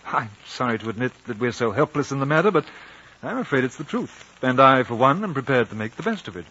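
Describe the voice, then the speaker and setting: A composed, reasonable British gentleman, with a middle-pitched voice. He speaks with measured courtesy and a quietly probing air, on a dull old radio drama recording. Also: big pitch swings. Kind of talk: 1950s radio drama acting and narration.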